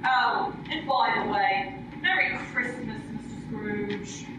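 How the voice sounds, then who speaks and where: A woman's voice: medium pitch, slow pace, lightly acted. A woman playing an eager, cheeky young street vendor boy in a comic radio play. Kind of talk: comic old-time radio play acting. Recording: echoey distant recording with noise.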